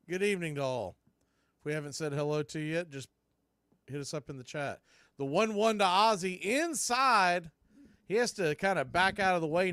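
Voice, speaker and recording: Higher-voiced man calling live baseball. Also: fairly bright tone, clean tone, no echo, clean studio sound. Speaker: play-by-play announcer and stream host. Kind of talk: live baseball radio play-by-play with casual chat.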